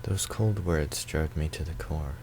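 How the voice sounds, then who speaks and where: A man's voice: rumbling low, unhurried, a little mellow, soft background music. A man reading first-person visual novel narration aloud.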